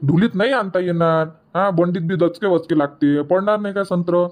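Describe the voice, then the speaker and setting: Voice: medium pitch, brisk, darkish tone, lightly acted. Grumbling Marathi village farmer husband, voiced by an actor. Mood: grumbling, skeptical. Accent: rural Vidarbha Marathi. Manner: grumbling, dismissive. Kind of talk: cartoon comedy dialogue.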